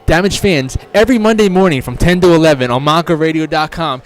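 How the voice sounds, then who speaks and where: A male interview host with a somewhat higher-pitched voice and a quick delivery. He talks informally, like someone presenting a wrestling show to fans and chatting with guests. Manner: informal, addresses guests as man.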